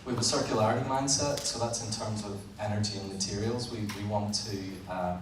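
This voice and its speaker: A man with a Northern Irish accent giving a live presentation to an audience as an engineer, speaking plainly and a bit haltingly with frequent fillers. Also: on the low side, fairly even intonation. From Northern Ireland. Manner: plain, a little halting, with frequent "uh" and repeated words. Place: large event hall. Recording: roomy, distant event recording with background noise.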